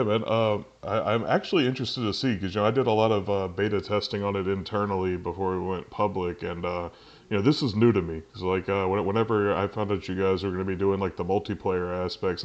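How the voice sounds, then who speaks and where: A man with a very low, deep voice hosts a casual interview, often restarting his sentences and leaning on fillers. He sounds muffled and dull, as if heard through a basic computer microphone. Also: fairly even intonation. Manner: halting, many "you know" fillers and restarts. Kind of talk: casual video-call interview.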